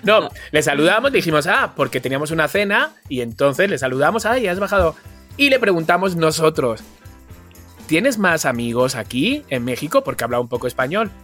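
A man with an accent from Spain co-hosting a casual chat podcast, telling anecdotes in an expressive, dramatic way and acting out dialogue. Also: on the high side, a little fast, soft background music. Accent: Spanish from Spain. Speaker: podcast co-host.

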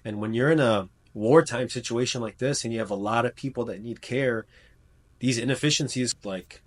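A man's voice: medium pitch, moderate pace. A man, a trauma surgeon, talking earnestly in a casual interview, with many fillers and restarts. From United States.

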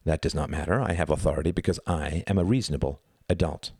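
A man voicing a stern, grimly controlled adult man who talks in a firm, measured, authoritative way in a story. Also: very deep voice, a little mellow, breathy edge, dry recording, studio quality, heavily acted.

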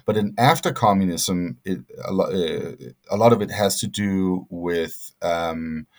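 Deep-voiced man, halting academic speech. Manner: halting, many fillers and restarts. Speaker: guest scholar. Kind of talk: conversational interview answers.

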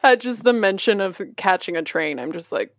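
30代の女性が、ポッドキャストでよく笑いながら気楽に雑談している。. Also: female voice, medium pitch, moderate pace, dry recording, studio quality. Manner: laughs often, relaxed and chatty. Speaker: podcast co-host, musician. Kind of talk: casual chat.

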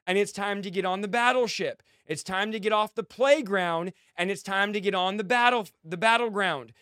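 A male American preacher with a high, clear voice delivers a fiery exhortation. He drives it forward in short, emphatic commands, often repeating phrases for force. The sound is dry, with very little room around the voice. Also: a bit bright, dry recording.

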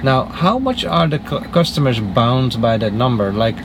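A man's voice: mid-range voice, sing-song, moderate pace. A man asking curious, chatty questions in a casual street interview. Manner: chatty, curious, talks over answers. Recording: street noise with traffic.

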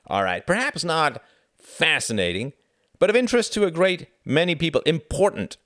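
A hearty young British diplomat from a storybook, acted out by a male narrator. His voice is pitched well up and swings widely. He teases, exclaims and persuades with booming good cheer, in a dry, close recording. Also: sing-song, normal speed, fairly bright tone, no echo. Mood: breezy, enthusiastic. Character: jovial, booming, teasing young British diplomat with big hands.

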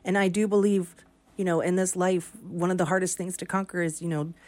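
A woman co-hosting a morning radio show in casual banter, her voice raspy and roughened by a sore throat. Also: steady tone, brisk, a little mellow. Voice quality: raspy, lower than usual. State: sore throat with a slight cough.

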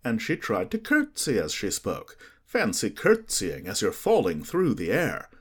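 A man narrating an audiobook in an expressive storytelling manner. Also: lowish voice, unhurried, husky.

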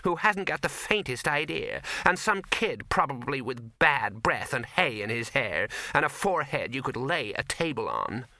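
A man voicing a fed-up young actress who complains loudly and petulantly. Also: mid-range voice, sing-song, normal speed, hardly any pauses, dry recording, heavily acted. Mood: complaining.

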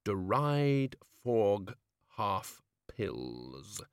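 A bluff, booming old wizard in charge of a university, as a male narrator puts him on. He sounds loud and hearty, and his voice jumps about with plenty of lift. It is recorded close and dry. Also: sing-song, very slow, smooth, no echo, heavily acted.

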